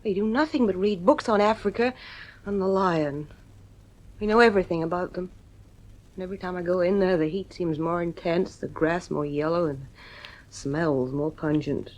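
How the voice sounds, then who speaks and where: A woman's voice: lowish voice, moderate pace, a little mellow, close to an everyday voice, poor audio. An actress playing an anxious, weary wife and mother in a 1950s radio drama. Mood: troubled, intense. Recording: vintage radio drama recording.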